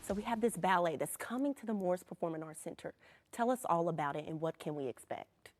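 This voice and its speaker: A woman's voice: fairly low pitch, lively intonation, quick pace, darkish tone, faint music behind. A woman hosting a local TV morning show, interviewing guests with short affirming replies. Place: TV studio.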